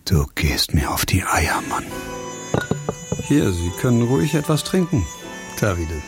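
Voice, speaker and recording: Over clear background music comes a disgraced former doctor in a radio crime play. His voice is low and gravelly, and he talks in a wry, weary way, hesitating and slipping into sardonic muttered asides. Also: very animated, a little slow. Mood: cool, probing. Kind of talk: German radio crime drama acting.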